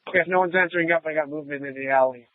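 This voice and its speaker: A man's voice: a little high, very fast, no room sound. A man working as a police officer, calling in over police radio on a scanner recording. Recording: tinny narrowband scanner radio.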